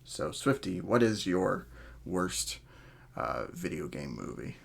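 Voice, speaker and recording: A man hosting a casual podcast chat, talking in a halting, hedging way as he thinks aloud. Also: sits low, very animated, gravelly, slightly rough. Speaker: podcast host.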